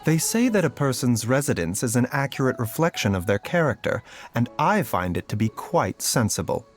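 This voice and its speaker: An actor playing a gentle, scholarly doctor in a fantasy game, speaking in a measured, polite and cautious way. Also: male voice, mid-range voice, very animated, natural voice. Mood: courteous musing. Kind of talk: scripted game voice acting. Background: background music.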